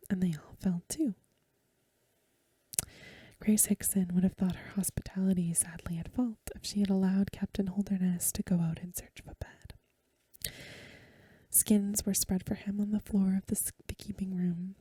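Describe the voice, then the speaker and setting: A woman reading a story aloud as soft-spoken ASMR, in a gentle, hushed, whispery voice close to the microphone. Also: medium pitch, slightly rough, dry recording. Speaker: ASMR host.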